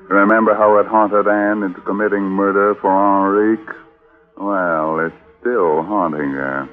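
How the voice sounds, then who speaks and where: An actor playing a gleefully macabre mystery host, sardonic and teasing, in tongue-in-cheek 1940s radio narration. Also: male voice, deep voice. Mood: ghoulish mock-solemn. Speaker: horror show host. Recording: muffled old radio recording, music behind.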